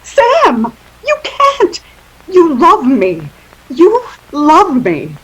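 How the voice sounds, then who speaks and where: High, swooping, desperate femme fatale. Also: female voice, huge pitch swings, very slow, full character voice, poor audio. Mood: anguished. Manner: breathless pleading, theatrical. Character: desperate, seductive female murderess pleading for love. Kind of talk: 1940s radio melodrama acting.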